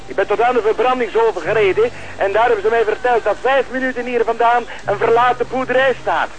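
Very high-pitched policeman. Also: steady tone, fast talker, muffled recording. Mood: urgent. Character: keen male police partner reporting in. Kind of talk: Flemish television crime drama acting.

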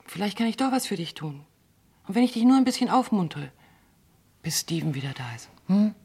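A brisk, motherly supervisor in a radio crime play. Her voice is husky and swings widely in pitch as she chats, soothes and talks down in an evasive way. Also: sing-song, a little mellow. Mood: soothing. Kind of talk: German radio crime drama acting.

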